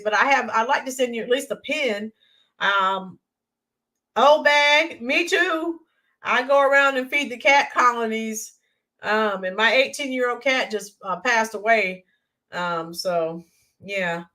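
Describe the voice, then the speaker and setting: A woman hosting a live stream, chatting in a rambling way with viewers and greeting them by name, her voice hoarse and worn. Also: high voice, pushed and bright. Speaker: YouTube channel host. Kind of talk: live-stream chat sign-off.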